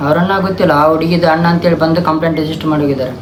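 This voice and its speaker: Young guy, talks really fast, insistent prank caller, noisy background. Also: on the high side, steady tone. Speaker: prank caller, vlog co-host. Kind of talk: casual prank phone calls. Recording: loud, clear background noise.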